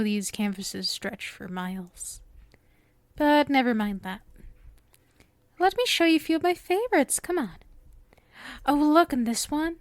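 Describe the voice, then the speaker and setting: Woman acting a slow, high-voiced lovestruck witch. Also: a little mellow, no echo. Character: sweet, doting, obsessive yandere witch, playful and lovestruck. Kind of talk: ASMR roleplay acting, addressed to the listener. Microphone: close microphone.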